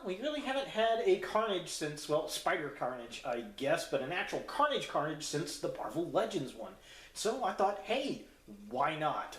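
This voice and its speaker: Male toy reviewer, slightly high voice, informal and slangy, casual unboxing commentary, roomy sound. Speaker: host of a toy review channel.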